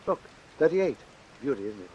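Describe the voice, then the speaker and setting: A man's voice: mid-range voice, very animated, moderate pace, warm tone, highs cut off, close to an everyday voice. A man playing an unhinged killer in an old radio thriller. He talks casually, with an amused, gleeful menace, on a vintage recording with faint hiss. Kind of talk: vintage suspense radio drama acting.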